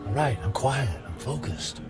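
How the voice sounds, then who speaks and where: A man's voice: mid-range voice, lively intonation, moderate pace, music in the background, lightly acted. An actor playing a smug, ruthless, self-absorbed businessman in a radio drama, sarcastic and dryly mocking. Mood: sarcastic, sceptical.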